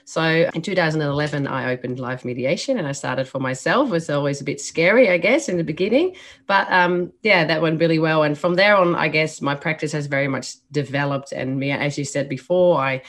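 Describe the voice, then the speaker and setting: A woman's voice: low voice, brisk. A woman from the Netherlands with a Dutch accent, a mediator answering interview questions in a candid, chatty way. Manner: candid, chatty, self-deprecating. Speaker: mediator and trainer.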